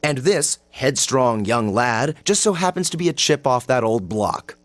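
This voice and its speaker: An actor playing a haughty young noble student in a video game, with a measured, coolly sardonic and disdainful delivery. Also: male voice, medium pitch, lively intonation, dry recording, close to an everyday voice. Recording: music behind the voice.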